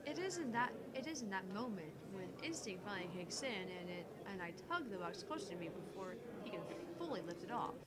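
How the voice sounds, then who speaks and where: A woman's voice: medium pitch, very animated, fairly quick, few pauses, very noisy, lightly acted. A woman playing an irritated, sardonic professional heroine, reading visual novel lines with clipped politeness. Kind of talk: reading visual novel dialogue and inner thoughts.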